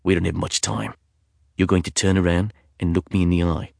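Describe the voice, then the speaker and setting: A man putting on a husky British knife-wielding thug. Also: low voice, level tone, brisk, a little mellow, no room sound, clean studio sound, pitched below the performer's own voice. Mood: menacing. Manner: cold, threatening, clipped. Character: huge menacing male intruder with a knife.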